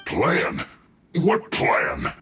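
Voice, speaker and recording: A man's voice: medium pitch, wildly swooping pitch, extremely slow, fairly bright tone, echoey room, slight background noise, heavily acted. A man voicing a hapless, indignant, sulky soldier in an anime-style video game, protesting dramatically. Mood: defensive.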